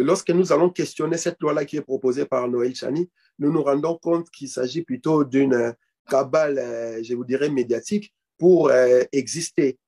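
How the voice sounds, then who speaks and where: A man's voice: medium pitch, mellow. A man in his forties, a political activist on a video call, arguing in an emphatic, declamatory way and repeating phrases for emphasis. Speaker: activist guest. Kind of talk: impassioned political argument over video call. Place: indoor room.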